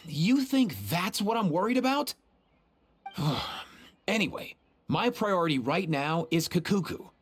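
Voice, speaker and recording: A man's voice: high-pitched, lively intonation, a little slow, breathy edge, full character voice. An actor playing a laid-back, slangy young man in a game, a wry and exasperated caretaker of his friends. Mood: worried, wry. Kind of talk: video game cutscene acting. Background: soft game music.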